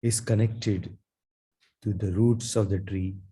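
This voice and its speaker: A man with a low, very mellow voice guides a meditation. He speaks slowly and gently, in short soothing phrases with long pauses between them. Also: steady tone, breathy edge. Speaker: meditation guide, Reiki teacher.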